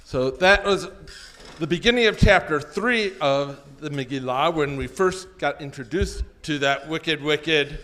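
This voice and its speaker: A man's voice: a little high, unhurried, pushed and bright. A man hosting a live event in a social hall, addressing a congregation. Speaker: host introducing a congregational puppet show.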